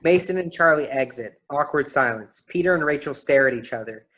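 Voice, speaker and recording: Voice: mid-range voice, lively intonation, a little fast, a bit bright. A person reading stage directions for a play, in scripted narration style.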